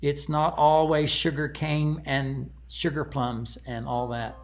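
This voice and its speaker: A man's voice: on the high side, level tone, slow pace, soft background music. A man speaking as an author in casual, conversational commentary.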